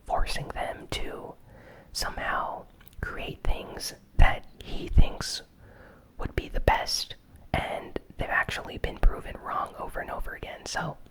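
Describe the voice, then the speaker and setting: A host giving a casual gaming news recap in a soft, unvoiced ASMR whisper, with unhurried, hesitant phrasing. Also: rough recording.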